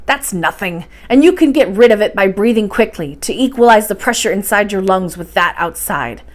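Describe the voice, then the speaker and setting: A woman's voice: medium pitch, expressive, moderate pace, lightly acted. A woman voicing an irascible, confident older professor uncle from a storybook, speaking emphatically and brusquely as he lectures. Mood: brisk, instructive.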